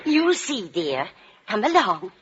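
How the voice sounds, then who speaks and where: A woman's voice: medium pitch, big pitch swings, slow. An actress playing a fussy, motherly, scatterbrained landlady in a 1940s radio comedy. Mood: cheerful. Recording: dull, noisy vintage radio recording.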